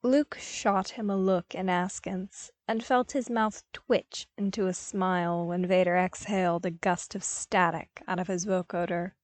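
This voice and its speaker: A woman's voice: on the low side, steady tone, a little slow, few pauses, no echo. Woman narrating a story, very dry sound. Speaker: audiobook narrator. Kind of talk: expressive scripted story narration.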